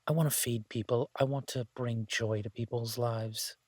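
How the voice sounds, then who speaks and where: A man playing a laid-back, idealistic chef in an audio roleplay, talking casually with hesitant pauses and mild swearing. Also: medium pitch, fairly even intonation, dry recording, clean studio sound, lightly acted. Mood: earnest, opinionated. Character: laid-back, idealistic male chef, devoted to honest food. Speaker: chef and restaurant owner character in an audio roleplay. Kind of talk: intimate conversational audio roleplay.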